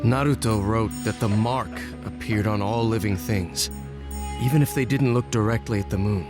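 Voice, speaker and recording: An actor playing a stern, brooding adult ninja in an anime game, speaking in a terse, serious, matter-of-fact way. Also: male voice, lowish voice, normal speed, close to an everyday voice. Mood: grave. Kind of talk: English anime game dub acting. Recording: music clearly behind.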